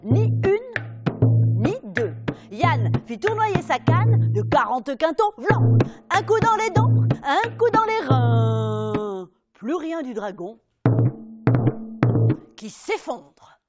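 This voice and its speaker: A woman's voice: high-pitched, sing-song, slow pace, pauses often, over loud music, pitched above the performer's own voice, full character voice. A woman performing as a dramatic fairy-tale narrator on stage, emphatic and vivid, with swings in intensity. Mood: dramatic, suspenseful. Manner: emphatic, swinging from hushed to loud. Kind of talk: theatrical stage storytelling.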